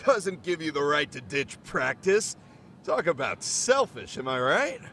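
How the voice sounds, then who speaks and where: A woman voicing a brash, jeering high school bully in a video game dub, loud and mocking. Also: big pitch swings, a little slow, a little hiss or hum, full character voice.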